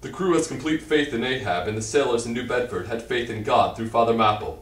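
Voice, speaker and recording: Puppet-show book club member played by a man: earnest, plainly delivered lines, medium pitch, echoey room sound. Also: a little fast, natural voice. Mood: matter-of-fact. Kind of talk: comic puppet show dialogue. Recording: echoey room, distant microphone.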